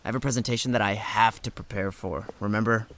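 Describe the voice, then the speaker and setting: A man's voice: on the low side, steady tone, quick pace, fairly bright tone, natural voice. A man playing an earnest, devoted young student in an audio drama. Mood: sincere, easygoing. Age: young adult.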